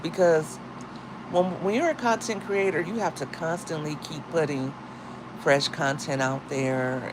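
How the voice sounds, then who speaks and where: A woman with a deep, husky morning voice, talking casually to viewers in a livestream as a single mom and content creator, with chatty, slangy asides. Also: noisy background. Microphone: phone.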